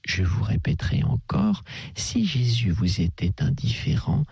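A man reading an audiobook, voicing a kindly older priest who coaxes gently in a soft, half-whispered way. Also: medium pitch, wildly swooping pitch, a little slow, gravelly, a little hiss or hum, full character voice. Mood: gently persuasive. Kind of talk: audiobook character voice.

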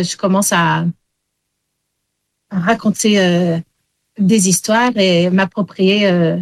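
A woman raised in Wales whose first language is English, a storyteller speaking haltingly with many pauses in an interview. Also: medium pitch, no echo. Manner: hesitant, many fillers. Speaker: storyteller and singer.

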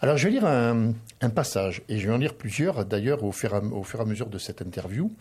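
Host guy with a breathy husky voice, reads out book bits, long questions. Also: on the low side, expressive, fast, darkish tone. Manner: reads passages aloud, asks long questions. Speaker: interviewer, host. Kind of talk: interview, reading book passages aloud.

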